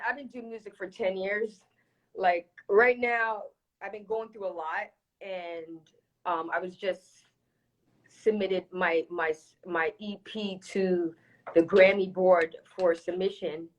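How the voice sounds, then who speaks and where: A woman's voice: medium pitch, fairly even intonation, takes it slowly, dull audio. A woman from Toronto chats casually as a guest in a live interview. She is candid and emphatic, often repeating a phrase to drive it home, with a mid-range voice. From Toronto, Canada. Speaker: reggae dancehall singer, interview guest.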